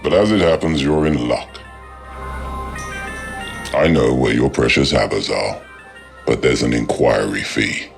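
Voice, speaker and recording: An actor playing a haughty, menacing alien crime boss in a video game, with a gravelly voice and cold, deliberate threats. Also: male voice, very deep voice, faint music behind, exaggerated voice. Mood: cold, contemptuous. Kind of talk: video game cutscene acting.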